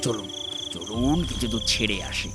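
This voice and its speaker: A man playing a frightened, superstitious old village van-puller in an audio horror story, pleading in halting, repeated phrases. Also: fairly low pitch, lively intonation, noisy background. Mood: fearful pleading. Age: older. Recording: loud music under the voice.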